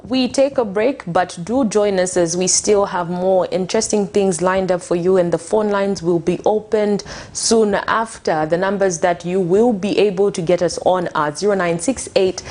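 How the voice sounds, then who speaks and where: A woman's voice: medium pitch, a little mellow. Woman hosting a breakfast show. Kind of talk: TV interview hosting.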